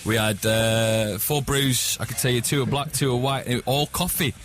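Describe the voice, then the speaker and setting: A man's voice: medium pitch, moderate pace, a bit bright. A man in casual, bantering chat as a guest in a radio studio. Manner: informal, bantering. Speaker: band member guest. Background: music.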